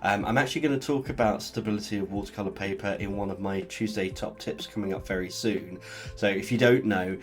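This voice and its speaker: A man's voice: lowish voice, fairly quick, soft background music. A man with a stuffy cold, chatting casually as he talks through a painting. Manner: relaxed, chatty, explains as he paints. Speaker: art channel host. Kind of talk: casual art tutorial narration.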